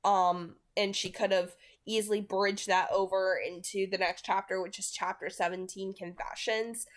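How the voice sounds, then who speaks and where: Young woman with a mid-pitched voice. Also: level tone, normal speed. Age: 20s. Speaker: reader and reviewer on a read-aloud channel. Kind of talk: audiobook-style reading, then casual book commentary.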